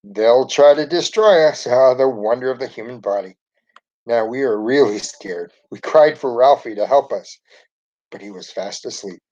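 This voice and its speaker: Male storyteller for a kids' picture book, expressive delivery, mid-range voice, slightly dull lo-fi sound. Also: medium pitch, moderate pace, no echo. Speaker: storyteller reading aloud for children. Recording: dull lo-fi home recording.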